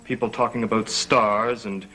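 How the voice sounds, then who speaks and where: Proud, defiant young manor heir. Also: male voice, on the low side, fairly even intonation, normal speed, pushed and bright, rough recording. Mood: suspicious, indignant. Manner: imperious, questioning, indignant. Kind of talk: 1970s television soap opera acting. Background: background music.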